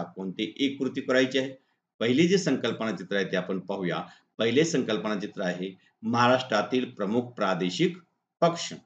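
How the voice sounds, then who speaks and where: Male teacher, Maharashtrian accent, instructional point-by-point delivery, muffled lo-fi recording with strong room echo. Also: medium pitch. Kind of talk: exam-answer lesson, read out point by point. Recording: echoey room, dull lo-fi sound.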